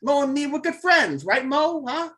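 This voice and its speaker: A man's voice: very high voice, big pitch swings, moderate pace, pushed and bright, exaggerated voice. A man voicing a nervous, pleading weak brother of a mob boss in a script read, stammering and appeasing. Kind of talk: live table read of a crime film script.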